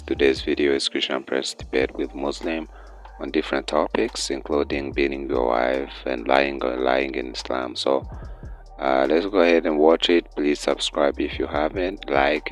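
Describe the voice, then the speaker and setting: A man giving a casual introduction to a video as the channel's presenter. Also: very deep voice.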